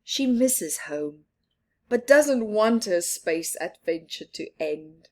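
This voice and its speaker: A woman reading a children's picture book aloud, expressive, with soft laughs and whispered asides. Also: mid-range voice, takes it slowly. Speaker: storyteller.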